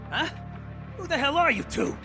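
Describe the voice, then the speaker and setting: A coarse, impatient gang thug with a creaky voice and lively pitch swings. He sounds irritable and rough, in dubbed game drama style over clear music. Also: moderate pace, exaggerated voice. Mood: hostile. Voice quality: creaky, gravelly. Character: foul-mouthed, impatient male gang thug. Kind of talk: English dub acting for a game cutscene. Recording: music behind the voice.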